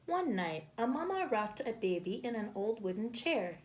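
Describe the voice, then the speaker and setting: A woman reading a picture book aloud to children, expressively and with deliberate phrasing, as a storyteller. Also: fairly high pitch, sing-song, a little mellow, a little hiss or hum.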